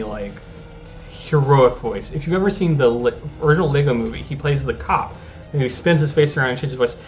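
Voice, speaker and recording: A man's voice: medium pitch, a little mellow, highs cut off. A man giving casual, rambling commentary over his own gameplay as a gaming channel host. He often restarts his sentences and talks at an easygoing pace. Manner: rambling, self-interrupting, easygoing.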